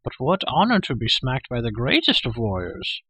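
A man's voice: mid-range voice, wildly swooping pitch, normal speed, bright, forceful tone, slightly rough, no echo, exaggerated voice. A man voicing a hairy, half-animal storybook creature who wails, pleads and fawns hungrily. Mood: wailing, pitiful. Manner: wailing, pleading, fawning, speaks of himself in third person. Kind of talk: storybook character voice.

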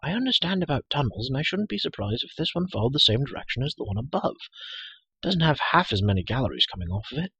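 Storybook young girl, played by a male reader: brisk, talkative, matter-of-fact, lively pitch, slightly breathy, dry sound. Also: dry recording, highs cut off, full character voice. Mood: prim, patient. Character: chatty, brisk, self-assured young girl.

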